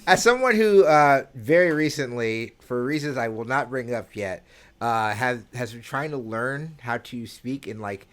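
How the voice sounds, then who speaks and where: A man's voice: mid-range voice, a little slow, fairly bright tone. A man co-hosting a podcast, talking casually and hesitantly, with many fillers and restarts. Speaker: podcast co-host. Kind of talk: casual chat.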